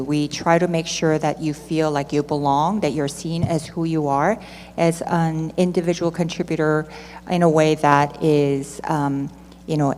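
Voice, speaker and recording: A low-pitched woman from Southern California introduces herself informally on a panel. Her voice carries long hall reverb, with a faint humming noise underneath. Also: a little mellow. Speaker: nonprofit executive director, panelist. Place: large reverberant hall at a conference.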